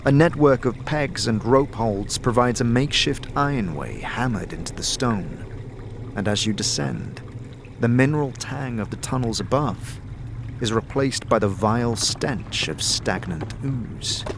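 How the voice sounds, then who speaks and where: A man giving scripted fantasy narration in a dramatic, measured storytelling manner. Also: mid-range voice, expressive, noisy background. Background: music.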